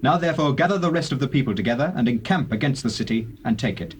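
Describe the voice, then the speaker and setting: Man acting a brisk army commander. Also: mid-range voice, animated, a little hiss or hum, close to an everyday voice. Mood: urgent. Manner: brisk, urgent, declamatory. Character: urgent, forceful army commander reporting from a siege. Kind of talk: dramatized scripture acting.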